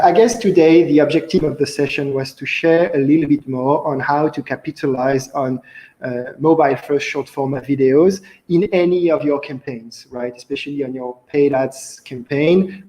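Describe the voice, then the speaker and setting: A young man with a French accent giving an online conference talk over a video call, relaxed and chatty with frequent fillers. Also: mid-range voice, moderate pace, low-quality recording. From France. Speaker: conference speaker, company founder. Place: indoor room, on video call.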